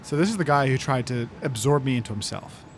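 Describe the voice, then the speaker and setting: A man's voice: medium pitch, expressive, quick pace, a little hiss or hum. A man chatting casually as a player in a tabletop game. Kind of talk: casual improvised game chat.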